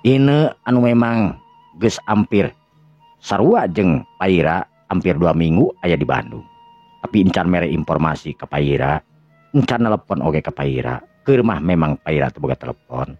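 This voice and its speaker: Man, Sundanese accent, extremely low voice, husky, radio-style storytelling, dull lo-fi sound, music behind. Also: animated, measured pace. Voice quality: gravelly, husky. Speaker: storyteller and channel host.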